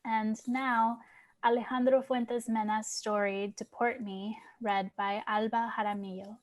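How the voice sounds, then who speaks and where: A woman's voice: a little high, level tone, a little slow. A woman acting as event host, introducing the next reader and thanking performers.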